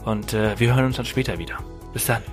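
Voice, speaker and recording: A male podcast host in relaxed conversation, talking at a middle pitch and often pausing on fillers. He restarts his sentences now and then. Faint music sits behind his voice. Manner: chatty, many fillers and restarts. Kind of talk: casual interview chat.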